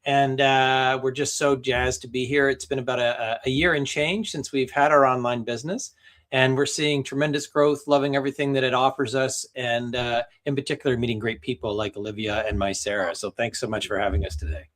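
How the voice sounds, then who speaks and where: A man's voice: mid-range voice, fairly even intonation, brisk, a bit bright. A middle-aged man from Canada, a guest on a live video call, telling his story in a friendly way with many fillers.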